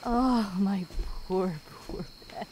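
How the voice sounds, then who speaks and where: A woman playing a sadistic, obsessive demon woman in an ASMR roleplay, gloating and possessive, with menacing breathy whispers that can break into shouts. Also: medium pitch, takes it slowly, slight background noise, close to an everyday voice. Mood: mocking, teasing.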